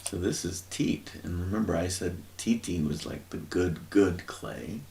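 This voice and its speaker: A man talks through scripture in a slow, rambling way, often stopping to search for the right word. His voice sits very low with a gravelly rasp. A faint hiss runs under the recording. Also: animated, darkish tone. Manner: rambling, searching for words, many fillers. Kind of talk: informal religious teaching, thinking aloud.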